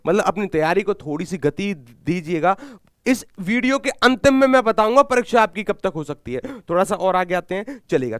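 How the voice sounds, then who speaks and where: A man's voice: high-pitched, a bit bright, clear, resonant tone, no echo, clean studio sound. A man teaching exam students in an explanatory lecture, repeating key points and answering his own questions.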